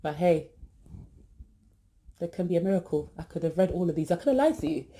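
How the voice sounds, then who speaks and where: Low-pitched, mellow woman. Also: brisk. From Britain, born in East London, family from Ghana. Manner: chatty and animated, with many fillers and asides. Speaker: podcast host. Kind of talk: casual self-introduction.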